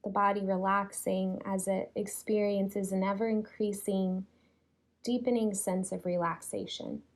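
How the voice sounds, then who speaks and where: Slow-paced woman guiding relaxation. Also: mid-range voice, steady tone. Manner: soothing, unhurried, with long pauses between phrases. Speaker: yoga nidra teacher. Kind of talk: guided relaxation meditation.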